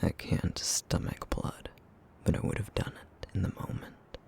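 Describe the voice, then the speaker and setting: A man voicing a brooding, guilt-ridden, vampire-like essence eater in an ASMR roleplay drama, terse and bitter, with a breathy, gravelly near-whisper. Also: unhurried, darkish tone, close to an everyday voice. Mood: brooding, guarded menace.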